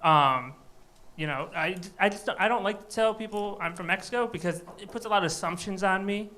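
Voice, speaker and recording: A man from Michigan working a comedy club crowd, his voice pitched high with a bright, forward edge. He talks in a loose, wry, conversational way into a handheld mic, pitching jokes straight at the room. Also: brisk. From Michigan, USA. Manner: wry, chatty crowd banter. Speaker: stand-up comedian. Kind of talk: live stand-up set.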